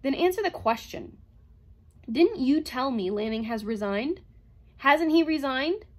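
A woman's voice: high-pitched, lively intonation, a little slow, voice pushed up. A woman voicing an angry, suspicious male mathematician who blusters and demands answers in a story reading. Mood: angry, demanding.

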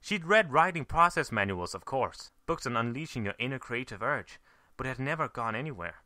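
A man's voice: medium pitch, animated, fairly quick, pushed and bright, dry recording, studio quality. A man reading a scripted story aloud as narrator. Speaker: fan fiction reader, narrator.

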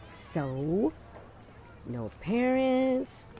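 A sly, teasing schoolgirl in an audio drama. Her voice is fairly high and animated, and she takes her time. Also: drawn-out words, heavily acted.

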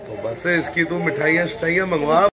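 A man in his thirties with a hoarse throat, chatting casually to viewers as a family vlog host. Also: fairly high pitch, a little fast, a crowd in the background. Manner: chatty, teasing, addresses viewers directly. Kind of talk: casual vlog talk to camera. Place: at home, indoors. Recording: noisy home recording.

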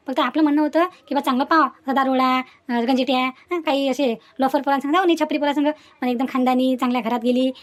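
Cartoon casting: woman as protective old grandmother, high voice, brisk folksy admonishing. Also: natural voice. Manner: admonishing, folksy, tags sentences with questions. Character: protective old grandmother, reasoning but firm. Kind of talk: Marathi family cartoon dialogue.

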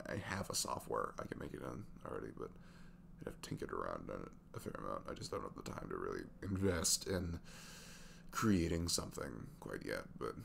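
Very deep crackly voice, male actor as sweet young single dad, halting casual chat, lively intonation, asmr roleplay. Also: exaggerated voice. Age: 20s. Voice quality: deep, gravelly velvet voice. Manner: halting, chuckling, conversational. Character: sweet, easygoing single dad in his twenties.

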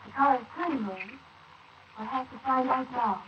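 Brief: anxious young wife, high urgent voice, played by a woman, hissy far-off 1940s wire recording. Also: normal speed, reverberant, lo-fi sound, close to an everyday voice. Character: anxious young wife urging her husband. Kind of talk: 1940s radio acting.

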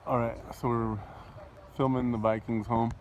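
A man's voice: mid-range voice, little pitch movement, normal speed, darkish tone. A man giving casual, offhand, rambling travel vlog narration as he films. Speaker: vlogger. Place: outdoors on and around a lake. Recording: outdoor camera audio, clear wind and water noise.

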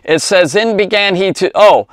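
An American man in his fifties teaches in a conversational, rambling way, circling back to correct himself. His voice sits a little high for a man. The sound is dry and close, like a lapel mic in a small study. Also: normal speed, no echo. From Cincinnati, United States. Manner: rambling, conversational, with false starts and self-corrections. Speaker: Bible teacher. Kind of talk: informal Bible lesson for kids. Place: small indoor study lined with bookshelves. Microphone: lapel mic clipped at the collar.

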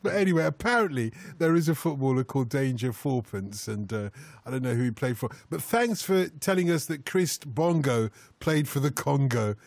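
A man's voice: medium pitch, expressive, normal speed, gravelly, a little mellow, no room sound. A man hosting a radio phone-in show, chatting jokily and reading out listener messages in a studio. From Nigeria. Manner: jokey, chuckling, reads out listener messages.

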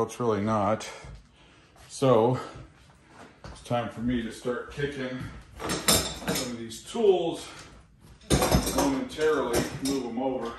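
Older DIY presenter, chatty folksy manner, mid-range voice, recorded on a distant camera mic. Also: male voice, medium pitch, a bit bright. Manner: chatty, folksy, keeps checking in with viewers. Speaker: DIY home repair presenter. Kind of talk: casual how-to demonstration. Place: small kitchen indoors. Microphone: camera mic on a tripod, some distance off. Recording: echoey room with clear work noise.

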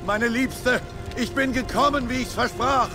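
A man's voice: extremely high pitch, measured pace. A man playing an intense, solemn crusader warrior and determined lover in a dubbed video game. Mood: pleading resolve. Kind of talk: dramatic video game dubbing. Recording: loud music over voice.

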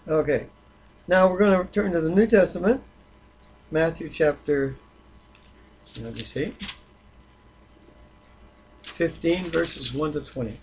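A man reading the Bible aloud and discussing it informally, with a halting delivery that stumbles over words. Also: a little high, a little hiss or hum, low-quality recording. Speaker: Bible reader.

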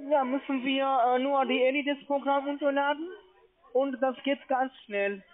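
A man's voice: very high-pitched, fairly even intonation, normal speed. A man with a foreign accent, a non-native speaker, walking a customer through steps as a call centre agent. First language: not German. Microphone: phone. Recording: dull, lo-fi phone line.

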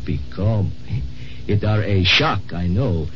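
Halting, kindly man from the future. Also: low voice. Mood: reassuring. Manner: halting, stilted, broken grammar. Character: kindly future man speaking halting, archaic-learned English. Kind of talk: 1950s American radio drama acting. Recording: muffled, noisy old radio recording.

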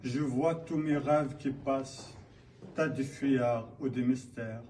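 Slow, solemn man reciting poetry. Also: medium pitch, steady tone, darkish tone. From Kabylia, Algeria. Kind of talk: poetry declamation. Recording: distant mic, faint background noise.

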